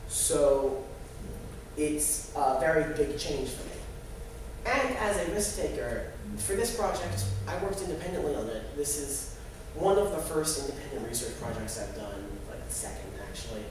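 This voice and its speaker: A young man giving an informal student presentation in a halting way, with false starts and repeated phrases. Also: fairly high pitch, expressive, recorded from a distance. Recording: very echoey, noisy room from afar.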